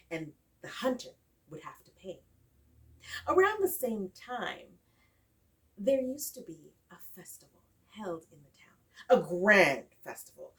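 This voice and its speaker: A woman telling a folktale in an expressive, dramatic style with deliberate phrasing and emphatic repetition. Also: medium pitch. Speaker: storyteller. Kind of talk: expressive oral folktale storytelling. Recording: distant microphone.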